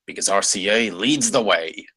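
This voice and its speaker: A man playing a composed, wizened designer in his sixties at a script table read, husky and assured. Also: on the low side, animated, moderate pace, sharp tone, dry recording, exaggerated voice, rough recording. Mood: showman-like. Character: wizened 66-year-old veteran designer, composed and focused.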